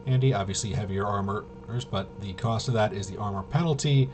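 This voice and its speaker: A man giving a casual, off-the-cuff rules walkthrough as a tabletop game reviewer, in a halting, mumbling way. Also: lowish voice. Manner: halting, mumbling through half-read rules. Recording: clearly noisy home recording.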